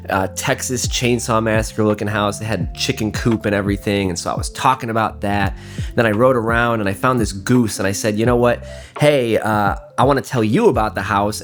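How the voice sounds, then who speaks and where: A man with a cold and a nasal voice, rambling through a casual, self-deprecating vlog voiceover. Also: on the low side. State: congested from a cold. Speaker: photography vlogger. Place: voiceover recorded indoors. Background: guitar music.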